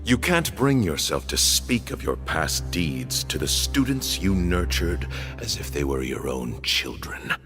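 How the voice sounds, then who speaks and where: Deep, stern old temple leader. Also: male voice, sing-song, over music. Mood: accusing, grave. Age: older. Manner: imperious, deliberate, theatrical. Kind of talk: dramatic video game voice acting.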